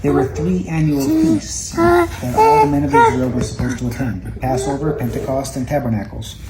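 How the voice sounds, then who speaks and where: A parent reading a children's Bible story aloud at home, gently and a little haltingly, repeating words now and then. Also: medium pitch, big pitch swings. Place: indoors at home. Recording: home recording with faint background noise.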